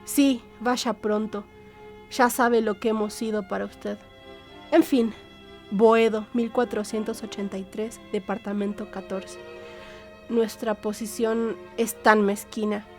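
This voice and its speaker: A woman voicing an aging, sickly mother who flatters and pleads in an audiobook drama, with ingratiating, dramatic exclamations. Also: mid-range voice, slow pace, soft background music. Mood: wheedling. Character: aging, ailing, scheming mother, fawning and wheedling.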